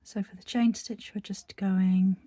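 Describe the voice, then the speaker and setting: Croaky, husky woman teaching embroidery. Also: medium pitch, fairly even intonation, unhurried, mellow. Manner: chatty, meandering, with many fillers and restarts. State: croaky after a virus. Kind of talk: craft tutorial narration.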